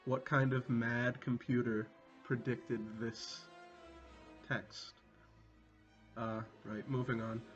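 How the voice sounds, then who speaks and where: A man giving a comedic, mock-dramatic reading with casual sweary asides, amused and prone to breaking into laughter. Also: medium pitch. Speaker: reader. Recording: dull lo-fi sound, music behind. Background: music.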